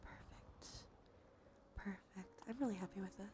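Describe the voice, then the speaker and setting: A woman streamer chatting in a soft, breathy, often whispered ASMR style, murmuring gently as she thinks aloud. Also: mid-range voice, fairly even intonation, moderate pace. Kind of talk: cozy ASMR game stream chat. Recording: soft background music. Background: music.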